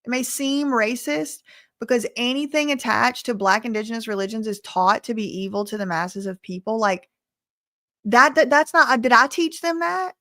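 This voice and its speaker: Woman, American accent, slightly high voice, dry close sound, sassy colloquial live stream chat. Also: moderate pace, bright, forceful tone, dry recording. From Louisiana, United States. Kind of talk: casual live chat reading and answering viewer messages.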